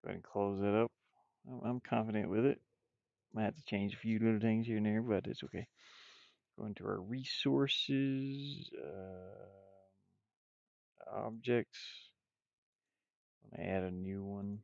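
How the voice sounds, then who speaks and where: A man's voice: fairly low pitch, unhurried, creaky, long pauses, darkish tone, no room sound, lo-fi sound. A man chatting casually on a livestream while he works, rambling and half muttering to himself. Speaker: streamer, game developer. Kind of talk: casual livestream chat while drawing game art.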